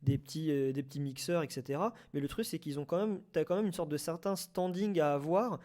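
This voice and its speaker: A man talks casually as a panelist. His voice sits slightly high for a man, and he keeps restarting phrases as he searches for the right word. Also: dark tone. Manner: halting, word-searching, repeats himself. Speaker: panelist in a debate. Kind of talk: casual debate talk about audio fiction.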